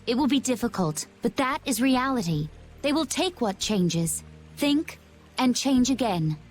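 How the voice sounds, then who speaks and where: An actress playing a calm, wise woman in a fantasy video game, composed and deliberate. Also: on the high side. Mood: calm conviction. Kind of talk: dramatic video game voice acting.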